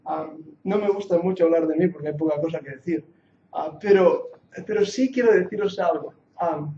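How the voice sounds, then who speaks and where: Catalan man teaching Bible, high echoey voice. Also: moderate pace, warm tone, slight background noise. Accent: Catalan Spanish mixed with English. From Catalonia, Spain. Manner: earnest and jokey, rising to emphatic preaching. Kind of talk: classroom lecture, sermon-like. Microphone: handheld or lapel mic. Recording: muffled echoey hall recording.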